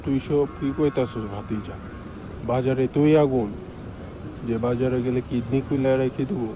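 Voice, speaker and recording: A man's voice: mid-range voice, steady tone, normal speed, background noise, natural voice. A man playing a put-upon, stingy village husband in a comic cartoon, complaining with a Bangladeshi Bengali accent. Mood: chatty, weary. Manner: complaining, exasperated.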